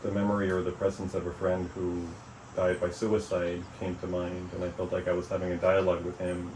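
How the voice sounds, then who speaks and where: A man with a very low voice talks in a measured, reflective way, often pausing on "um" and "you know". He sits a little back from the microphone in a roomy, echoing space with faint noise underneath. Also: monotone. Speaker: author interviewed about his book. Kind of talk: reflective interview conversation. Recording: echoey room, mic at a distance, faint noise.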